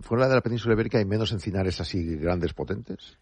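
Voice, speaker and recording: Radio host guy, talks fast, bit husky, dry studio. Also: fairly low pitch, darkish tone, no room sound. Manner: quick, chatty interviewer. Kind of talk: casual radio chat.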